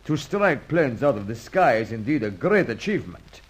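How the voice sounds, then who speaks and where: An actor playing a boastful, scheming villain with a superweapon in a radio serial, grandiose and gloating. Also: male voice, medium pitch, very animated, moderate pace, slightly rough. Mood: proud. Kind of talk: old-time radio adventure serial acting.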